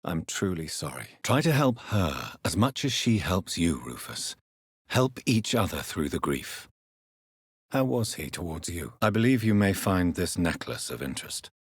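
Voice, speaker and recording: An actor playing a grave, courteous vampire doctor in a video game, with a British accent, a husky voice and formal, measured phrasing. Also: male voice, low voice, sing-song, dry recording, studio quality, exaggerated voice. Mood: sympathetic. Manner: formal, measured, polite, probing. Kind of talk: dramatic video game dialogue.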